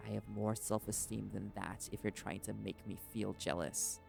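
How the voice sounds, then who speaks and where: A man's voice: on the low side, level tone, normal speed, vocal fry, loud music behind the voice. A man playing a stubborn, guarded reporter held captive in an audio roleplay, arguing back in loyal defiance. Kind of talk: dramatic audio roleplay acting.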